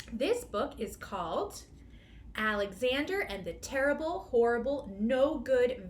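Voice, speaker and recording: A woman's voice: mid-range voice, slight background noise. A woman hosting a storytime for young children, explaining things simply and guiding them as she goes. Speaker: library storytime host and reader. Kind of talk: children's storytime read-aloud.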